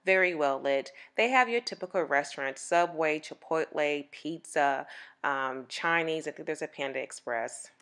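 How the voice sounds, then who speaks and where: A woman in her forties narrating a mall walkthrough as a vlogger, chatty and relaxed, with fillers. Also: low voice, moderate pace. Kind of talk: mall tour voice-over narration. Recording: music playing under the voice.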